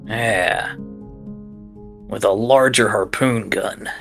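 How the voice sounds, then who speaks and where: A man's voice: lowish voice, drawn-out words, creaky, fairly bright tone, soft background music, heavily acted. A man voicing a self-assured, folksy old tinkerer doctor in a laconic way during a tabletop game. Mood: musing. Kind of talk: tabletop roleplay in character.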